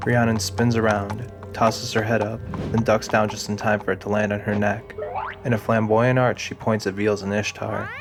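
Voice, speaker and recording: A man giving a scripted, deliberate narration of a fantasy audio drama. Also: fairly low pitch, little pitch movement, over music.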